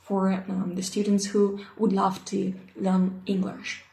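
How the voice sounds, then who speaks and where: A woman's voice: mid-range voice, monotone, slow, gravelly, darkish tone. Hesitant Russian-accented woman teacher. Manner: hesitant, many ums and uhs, searching for words. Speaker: English teacher. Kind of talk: unscripted self-introduction. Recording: echoey room.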